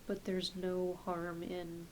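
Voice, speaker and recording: A woman acting as a gentle female doctor in an ASMR exam roleplay. Her voice is soft and on the low side, with slow, hesitant phrasing that often slips toward a whisper. Clear hiss sits behind her. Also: monotone, poor audio. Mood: gentle, reassuring. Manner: soft-spoken, hesitant, halting, often trailing into a near-whisper. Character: gentle, reassuring, slightly scattered female doctor for werewolf patients. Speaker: ASMR performer. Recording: close home recording with clear hiss.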